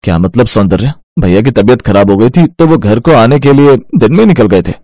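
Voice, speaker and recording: A man voicing a respectful, earnest and excitable young village farmer in a storybook tale. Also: medium pitch, a little fast, no room sound, clean studio sound, lightly acted. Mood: worried.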